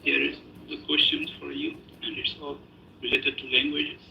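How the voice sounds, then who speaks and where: A man's voice: fairly high pitch, huge pitch swings, moderate pace, creaky, echoey room, lo-fi sound, a little hiss or hum. A man on a video call reading out practice test questions in a brief, hesitant way. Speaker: practice examiner asking questions.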